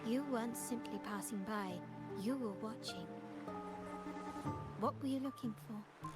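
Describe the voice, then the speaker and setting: An actress playing a poised, sharp, commanding crime-family heiress in a video game, formal, composed and deliberate. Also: female voice, a little high, lightly acted. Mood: probing, composed. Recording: loud music behind.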